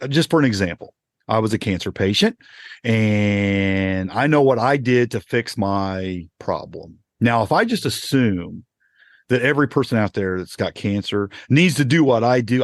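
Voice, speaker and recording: A man's voice: lowish voice, lively intonation, moderate pace, no echo. A man hosting a podcast in casual chat, rambling and correcting himself, laughing often. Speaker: podcast host.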